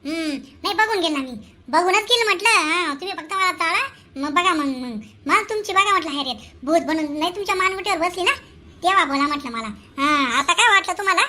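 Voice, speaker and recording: A woman's voice: very high voice, animated, fast, sharp tone, full character voice. A woman voicing an indignant, nagging middle-aged village mother in a comic cartoon, with a Khandeshi Marathi accent. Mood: indignant, scolding. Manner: nagging, exclamatory, rural colloquial.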